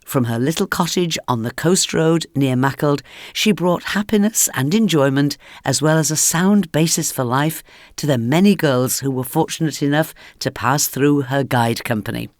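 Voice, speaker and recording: A woman presenting a radio programme, reading scripted links that introduce interviews in a measured narrating style. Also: very deep voice, no room sound, clean studio sound. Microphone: close studio microphone, dry.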